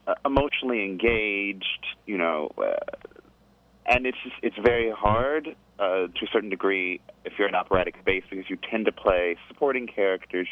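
Guest: deep-voiced man on a phone line, easygoing storyteller, laughs often. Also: no room sound. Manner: thoughtful, rambling, laughs easily. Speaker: guest singer. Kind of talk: casual interview chat.

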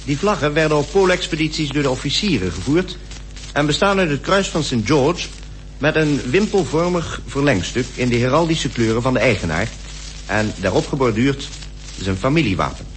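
A man's voice: mid-range voice, expressive, normal speed, close to an everyday voice. An actor playing an expedition member explaining polar customs from his diary, expressively, in a radio drama. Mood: informative. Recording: old radio recording with hum.

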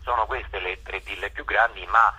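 Low, husky, very bright man. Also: steady tone, normal speed. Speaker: interview guest, spokesman for a park conservation association. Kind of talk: radio interview, informal explanation.

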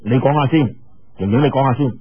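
A man's voice: medium pitch, fast, dull audio, close to an everyday voice. A man with a Cantonese accent voicing a carefree, teasing young swordsman hero in a martial-arts audiobook, bantering colloquially.

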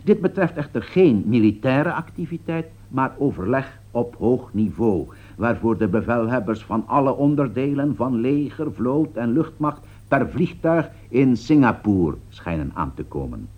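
Grave radio commentator, dramatically varied pitch. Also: male voice, sing-song, measured pace, hardly any pauses. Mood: grave, foreboding. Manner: solemn, formal, rhetorical. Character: grave male radio political commentator foretelling catastrophe. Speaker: political commentator. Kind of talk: scripted radio commentary in a radio play. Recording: dull, lo-fi radio play recording.

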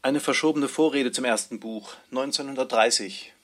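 A man's voice: medium pitch, normal speed. A man reading out section titles as a scripted announcement.

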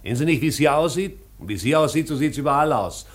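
A man's voice: a little high, animated, quick pace. A man acting a blunt, bitter postwar official in a 1950s German radio drama, speaking in emphatic, rhetorical phrases.

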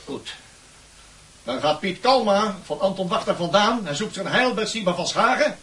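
A man's voice: high voice, animated, normal speed, a bit bright, recorded from a distance. A male actor playing a stern, scornful schoolteacher who barks sarcastically at his class in a Dutch radio play. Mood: scornful, commanding. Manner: barking, sarcastic.

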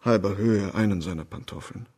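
A man in a radio drama playing an oily, lecherous doctor who talks smoothly and fawns on authority. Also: fairly low pitch, fairly quick, warm tone. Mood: fawning, formal. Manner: smooth-talking, obsequious, formal.

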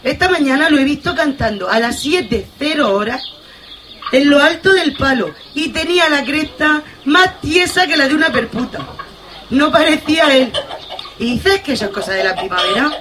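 Lady doing a chatty hen in a radio play, sharp bright voice, exclaims a lot, bit of noise. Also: sits high, animated, moderate pace, low-quality recording. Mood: cheeky. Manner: gossipy, exclamatory, colloquial. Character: gossipy excitable Murcian farmyard hen, female. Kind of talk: comic radio theatre acting.

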